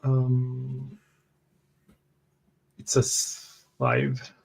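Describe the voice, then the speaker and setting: A man's voice: mid-range voice, fairly even intonation, very slow, creaky, slightly rough, low-quality recording. A man playing a tabletop roleplaying game, speaking in hesitant, broken phrases.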